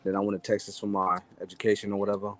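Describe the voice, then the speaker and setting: A man's voice: fairly low pitch, completely flat delivery, rapid-fire, fairly bright tone. Laid-back young man from Sudan. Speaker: caller. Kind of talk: casual chat.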